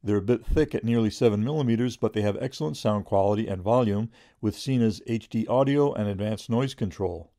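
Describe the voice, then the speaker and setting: A middle-aged man reading a scripted product review in a measured, informative way. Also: on the low side, warm tone, dry recording, studio quality.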